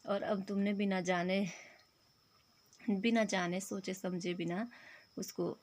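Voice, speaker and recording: A hoarse woman voicing a gently chiding husband. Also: mid-range voice, little pitch movement, takes it slowly, natural voice. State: hoarse throat. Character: mild amused husband, middle-aged man.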